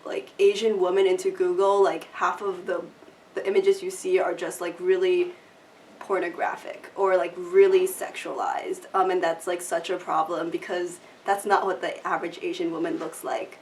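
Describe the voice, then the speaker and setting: A woman's voice: fairly low pitch, little pitch movement, normal speed. A young woman student speaking conversationally in an interview, peppering her points with "like". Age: young adult. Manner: conversational, frequent "like". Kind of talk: casual interview. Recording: distant mic with faint room noise.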